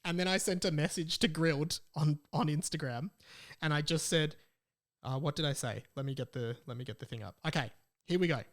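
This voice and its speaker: An Australian man chatting casually as a solo podcast host, telling stories in an animated, playful, mock-dramatic way. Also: a little high, brisk.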